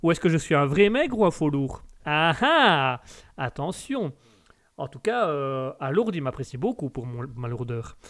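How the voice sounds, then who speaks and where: Joking French-speaking stream host, fairly high voice. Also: male voice, very animated, normal speed, a bit bright. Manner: playful, joking, hesitant with many fillers and restarts. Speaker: live-stream host reading chat. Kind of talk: casual chat, live stream.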